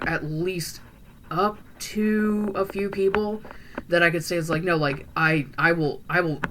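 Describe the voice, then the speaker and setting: A woman playing a chatty, bright-voiced young vampire. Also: low voice, slight background noise. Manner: rambling and hesitant, full of fillers and restarts. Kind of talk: in-character roleplay monologue.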